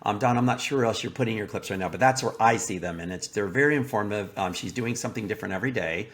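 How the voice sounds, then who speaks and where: American man, podcast host, rapid casual delivery, lowish pitch, chatty, talks to his listeners. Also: fairly bright tone. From Texas, United States. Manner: chatty, addresses audience as "guys". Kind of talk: casual conversational interview.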